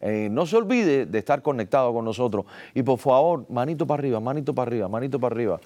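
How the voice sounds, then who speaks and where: A man hosting a talk show in a loose, conversational way. His voice sits at an ordinary pitch, and he laughs often while bantering with his guest and pitching straight to the audience. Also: expressive, quick pace, mellow. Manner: playful, laughs often, addresses the audience directly. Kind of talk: casual interview chat.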